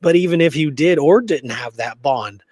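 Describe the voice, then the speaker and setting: A man's voice: on the high side, expressive, moderate pace, darkish tone, dry recording. A man co-hosting a history podcast in a casual, conversational way, often checking that his listener follows. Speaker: podcast co-host.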